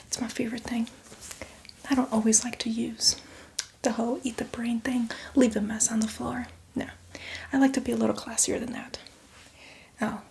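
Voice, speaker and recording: A woman playing a boastful, playfully menacing female zombie in a chatty, teasing ASMR roleplay, speaking in a breathy, hushed whisper. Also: a little high, a little hiss or hum. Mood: gleeful, teasing. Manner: chatty, teasing, addresses the listener as victim.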